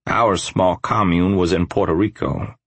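A man voicing a grave, measured older religious defector who speaks deliberately in an audiobook story. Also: low voice, fairly even intonation, slightly rough, dry recording, exaggerated voice. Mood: solemn, restrained anger.

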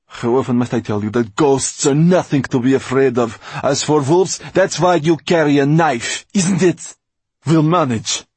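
A man voicing a domineering, ruthless older woman villain in an audiobook, sharp, scornful and commanding. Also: mid-range voice, normal speed, breathy edge, dry recording, pitched above the performer's own voice, lightly acted. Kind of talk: audiobook character acting.

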